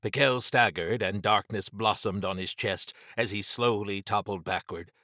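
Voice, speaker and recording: A man's voice: lowish voice, normal speed, bright, forceful tone, no room sound, clean studio sound. A man narrating a scripted horror adventure as an audiobook reader.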